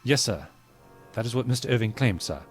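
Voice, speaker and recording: An actor playing a dutiful, wary naval lieutenant in a period audio drama, speaking with formal military deference. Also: male voice, medium pitch, expressive, a little fast, breathy edge, no echo, soft background music. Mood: deferential.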